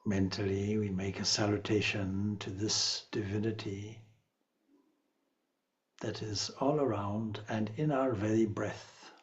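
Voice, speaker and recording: A man leading a guided meditation as a spiritual teacher, speaking calmly and in a measured way with long pauses. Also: on the low side, highs cut off.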